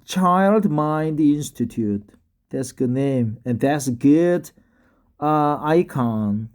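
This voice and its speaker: A man with a Korean accent, a native Korean speaker practicing English in a casual vlog, slightly tipsy and halting, full of hesitation fillers. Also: fairly high pitch, lively intonation, darkish tone. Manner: halting, many drawn-out fillers. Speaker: English learner vlogging. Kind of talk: casual talk and reading aloud.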